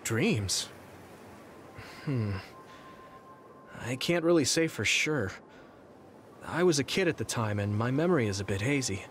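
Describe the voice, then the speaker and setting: An actor playing an earnest, polite, slightly awkward young soldier in an anime-style video game, speaking hesitantly. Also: male voice, medium pitch, animated, measured pace, pauses often, a bit bright, slight background noise. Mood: hesitant, apologetic.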